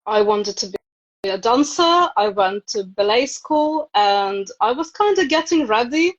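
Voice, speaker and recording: A woman's voice: fairly high pitch, animated, unhurried. A woman in her thirties from Ukraine, a native Ukrainian speaker with a Ukrainian accent, chatting casually as an interview guest on a video call. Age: 30s. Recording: dull, lo-fi video live stream.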